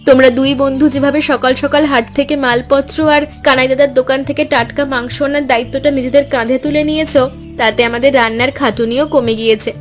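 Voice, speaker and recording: A woman's voice: sits high, fairly even intonation, fast, soft background music, close to an everyday voice. A woman voicing a devoted, hopeful village wife in a Bengali cartoon story, earnest and encouraging. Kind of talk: Bengali animated moral story dialogue.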